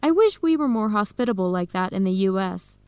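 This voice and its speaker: A woman playing an earnest young woman in an educational radio drama, speaking expressively and clearly. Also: mid-range voice, animated, brisk, a little mellow, no room sound, clean studio sound, natural voice. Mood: enthusiastic. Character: Helen, earnest young woman searching for her birth family. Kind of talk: acted radio drama dialogue for English learners.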